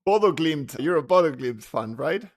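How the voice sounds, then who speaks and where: A man's voice: on the high side, expressive, normal speed. A man co-hosting a podcast in a casual, chatty interview style. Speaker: podcast co-host.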